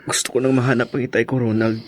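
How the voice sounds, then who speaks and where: An actor playing a sorrowful, resolute son and estranged husband in a Tagalog radio drama, with a Filipino accent. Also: male voice, medium pitch, little pitch movement, fast talker, a little hiss or hum, lightly acted.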